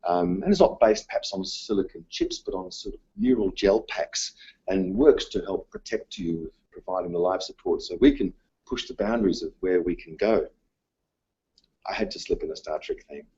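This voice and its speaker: A male teacher whose first language was Dutch, giving a conference talk in a halting way, full of ums and uhs. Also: low-pitched, darkish tone, breathy edge, highs cut off. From Australia. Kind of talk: presentation talk. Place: conference room.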